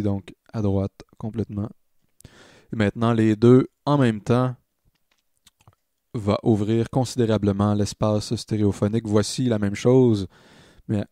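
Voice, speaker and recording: Male tutorial narrator, Quebec French accent, low register, unhurried and halting, informal teaching tone. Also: dark tone, dry recording, clean studio sound. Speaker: online music production tutor.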